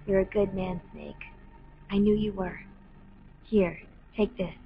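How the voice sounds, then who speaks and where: Calm serious soldier girl in a video game, medium voice, kinda muffled sound. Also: medium pitch, takes it slowly, gravelly, slight background noise. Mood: gentle, resigned. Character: calm, fatalistic young woman soldier with psychic foresight. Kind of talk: dramatic video game acting.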